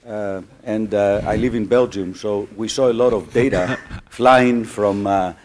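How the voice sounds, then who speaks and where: A man from Greece making an audience comment at a conference, halting with many uhs and restarts. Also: fairly low pitch, fairly even intonation, a little mellow, a little hiss or hum. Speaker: audience member.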